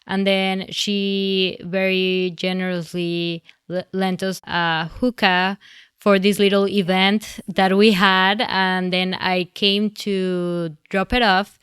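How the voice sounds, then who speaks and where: A woman's voice: mid-range voice, a bit bright, clean tone, dry recording, clean studio sound. A woman hosting a podcast, introducing her guests in a loose, friendly, laughing way. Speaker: podcast host. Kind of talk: casual interview.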